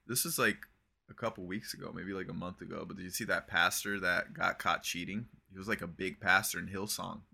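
Bright-voiced young man, loose rambling chat. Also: low voice, a little fast, creaky, husky. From Brazil. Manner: relaxed and rambling, swearing, lots of "bro" and "like". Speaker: podcast co-host. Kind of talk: casual chat.

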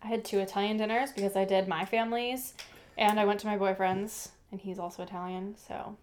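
A young woman co-hosts a relaxed podcast chat. She rambles along in a friendly way, padding her sentences with "like" and "um.". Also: medium pitch, fairly quick, slight background noise. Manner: chatty, rambling, full of "like" and "um". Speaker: podcast co-host. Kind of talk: casual chat.

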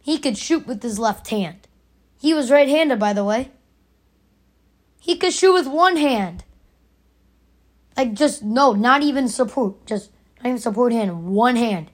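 A raspy young woman hosting her own podcast, arguing her opinion emphatically and repeating her points. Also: on the high side, expressive, a little slow, pauses often. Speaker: podcast host. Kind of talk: opinionated solo podcast rant.

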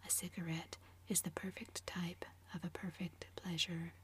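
A woman whispering the lines of a languid, witty aristocratic gentleman in a storybook reading, in a low, flat hush. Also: normal speed, lightly acted. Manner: whispered, epigrammatic, drawling.

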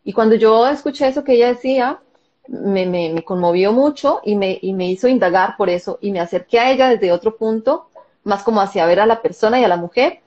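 A Colombian woman, a psychologist and therapist, telling her personal story in an interview, softly and reflectively with frequent pauses. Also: medium pitch, dull audio. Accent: Colombian Spanish. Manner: soft, reflective, with hesitant fillers.

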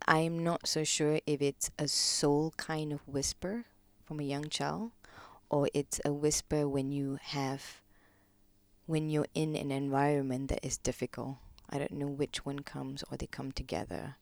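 Slow, low-voiced woman. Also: flat delivery, vocal fry, dry recording. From Malaysia. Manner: slow, hesitant, many pauses and ums, soft-spoken. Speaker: podcast guest, gong practitioner. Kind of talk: reflective personal interview talk.

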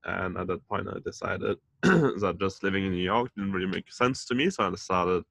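A man in his thirties from France and Denmark, a community founder talking casually as a podcast guest, with many pauses and fillers. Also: deep voice, expressive, creaky, fairly bright tone, no echo, clean studio sound. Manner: hesitant, many fillers and restarts. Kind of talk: casual interview chat.

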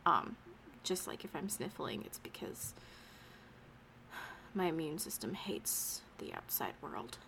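A woman's voice: deep voice, big pitch swings, takes it slowly, vocal fry, pauses often, a bit bright, slight background noise, rough recording. A young woman co-hosting a podcast in casual chat, laughing often and a little sniffly from allergies. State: stuffy allergies. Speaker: podcast co-host.

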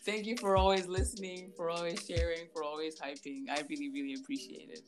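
A woman from Zambia in her thirties talks as a guest in a relaxed interview. Her voice sits low. She laughs easily and doubles words for emphasis. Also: fairly quick, over music. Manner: warm, laughs often, repeats words for emphasis. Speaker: guest life coach. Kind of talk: casual interview chat.

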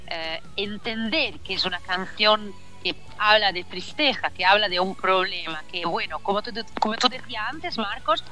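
A woman's voice: medium pitch, normal speed, hardly any pauses, pushed and bright. An Italian-born woman with an Italian accent, talking as a singer in a radio interview, hesitant and searching for words. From Italy. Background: faint background music.

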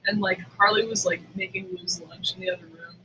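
A woman podcast co-host chatting casually, telling stories in a candid, animated, sweary way. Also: on the low side, a little fast, noisy background. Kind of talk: casual chat.

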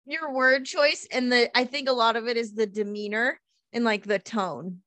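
A woman's voice: on the high side, steady tone, moderate pace, fairly bright tone, no room sound. A woman co-hosting a podcast, teasing and answering in short, dry replies. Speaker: podcast co-host. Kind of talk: casual chat.

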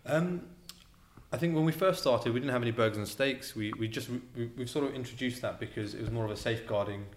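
A man's voice: medium pitch, fast, a little hiss or hum. A man who co-owns a restaurant, answering interview questions in a casual, hesitant way with repeated words and ums. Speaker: restaurant co-owner, guest. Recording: roomy echo.

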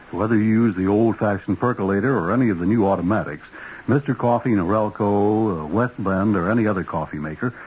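A male announcer reads an old radio commercial with lively, swooping emphasis. His voice is a little husky and of medium pitch. The sound is dulled like a vintage broadcast. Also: muffled recording. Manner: emphatic, sales-pitch delivery. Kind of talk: 1970s radio advertisement read.

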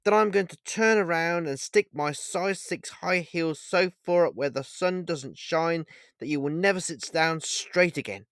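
A man voicing a heartbroken, furious young woman who shouts and nearly screams. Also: medium pitch, big pitch swings, slow pace, long pauses, no room sound.

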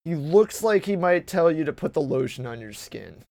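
A man's voice: fairly high pitch, very animated, normal speed, gravelly, darkish tone. A man hosting a casual podcast, rambling and swearing freely, often stumbling over his words and restarting. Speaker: podcast host. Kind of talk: casual banter.

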